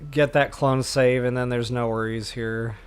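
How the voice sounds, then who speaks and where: A man's voice: mid-range voice, little pitch movement, normal speed, clean tone. An American man hosting a gameplay walkthrough, commenting in an informal, candid and explanatory way. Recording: faint game noise behind voice.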